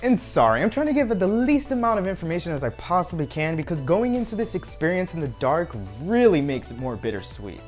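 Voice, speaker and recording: A man's voice: high voice, a little fast, hardly any pauses. A man in his thirties giving an enthusiastic, emphatic movie review to camera as a video reviewer. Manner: animated, emphatic. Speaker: film reviewer. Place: furnished room at home. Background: background music.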